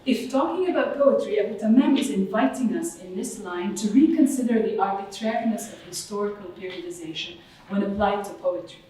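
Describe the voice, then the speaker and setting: A woman's voice: mid-range voice, mellow. Lebanese-born woman lecturing in an echoey hall. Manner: measured and thoughtful, with some hesitations. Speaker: guest lecturer on Arabic literature. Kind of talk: academic lecture. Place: lecture room at a cultural center. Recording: distant mic in a very echoey hall.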